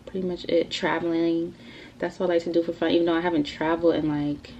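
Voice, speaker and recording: Young woman in her 20s rambling chatty about herself, lowish voice, kinda dull lo-fi sound. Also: flat delivery, fast talker, darkish tone, slight background noise. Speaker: YouTuber. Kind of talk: casual Q&A vlog.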